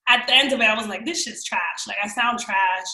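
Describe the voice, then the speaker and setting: A young woman with a New York accent, telling stories as a podcast guest in an animated, slangy way. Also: medium pitch, a little fast, roomy sound, poor audio. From New York, raised in Miami. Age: young adult. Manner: animated, slangy, quotes people in voices. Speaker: guest actress. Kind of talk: casual storytelling chat.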